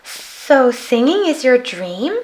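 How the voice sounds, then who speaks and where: A woman's voice: sits high, sing-song, slow pace, pitched above the performer's own voice, heavily acted, rough recording. A woman voicing a kind, encouraging little girl in a children's storybook. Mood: caring. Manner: bright-spirited, encouraging. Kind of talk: children's picture book read aloud.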